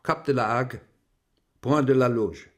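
An actor playing a reflective, world-weary French explorer of the eighteenth century in a German radio play, musing in measured, deliberate phrases. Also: male voice, mid-range voice, steady tone, close to an everyday voice. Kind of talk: German radio play monologue, journal reading.

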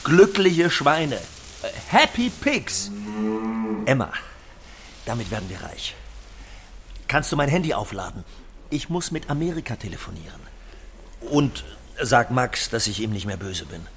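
Male, excitable scheming businessman: theatrical, effusive, widely swinging intonation, audio drama, faint noise behind. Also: medium pitch, measured pace, pauses often. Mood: enthusiastic scheming. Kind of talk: German audio drama acting.

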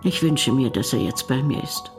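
A woman's voice: extremely low pitch, animated, normal speed, soft background music. An actress playing an elderly, caring wife who tells her story in a plain, conversational radio drama monologue. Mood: worried. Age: older. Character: elderly devoted wife, caring, worried, quietly amused.

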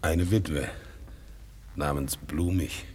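Stolzen einbeinigen Leierkastenmann. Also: male voice, very deep voice, steady tone, takes it slowly, a little mellow, husky, exaggerated voice. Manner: solemn, deferential, fond of his organ. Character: one-legged war veteran organ grinder, proud, dutiful. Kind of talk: 1960s radio play acting. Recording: faint music behind, older radio play recording.

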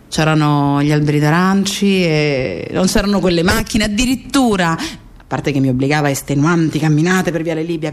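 An actress playing a grieving woman who fondly recalls her close friend in a reflective radio theatre monologue, pausing and repeating herself. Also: low voice. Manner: reflective, with pauses and repetitions.

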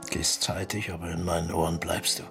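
A man's voice: sits low, level tone, fairly quick, vocal fry, loud music behind the voice. An actor playing a brooding, lyrical man in a German period radio drama, theatrical and poetic in his delivery. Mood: mournful, rapt.

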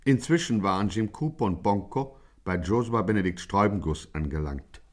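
A man's voice: lowish voice, expressive, moderate pace, a little mellow, faint music behind, close to an everyday voice. A man narrating a 1950s radio crime satire in a mock-solemn, literary, dramatic manner.

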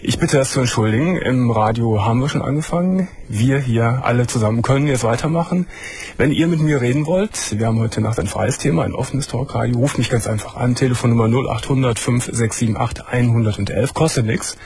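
A man hosting a late-night call-in show from a studio, asking calm, probing questions and giving short replies as he listens. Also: medium pitch, brisk, breathy edge. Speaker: radio and TV talk show host.

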